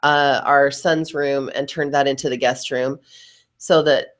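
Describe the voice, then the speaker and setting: Low-voiced middle-aged woman with allergies, chatting. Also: fairly even intonation, unhurried. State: voice lowered by allergies. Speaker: podcast co-host. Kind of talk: casual chat.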